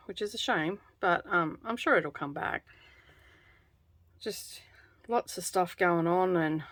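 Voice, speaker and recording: Relaxed low-voiced woman. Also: no echo. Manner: relaxed, rambling. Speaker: craft vlogger. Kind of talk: casual chat while stitching.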